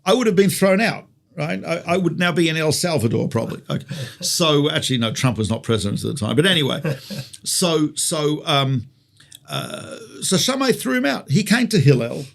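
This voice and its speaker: An Australian man teaches as a rabbi in a conversational, storytelling way. His voice sits a little high for a man and has a clear gravelly fry. He poses rhetorical questions and repeats key phrases for emphasis. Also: very animated, moderate pace.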